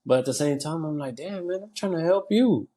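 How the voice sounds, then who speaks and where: A man's voice: on the high side, animated, brisk, a crowd in the background. An American man chatting casually as a comedian guest, slangy and sweary, telling stories with quoted asides. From United States.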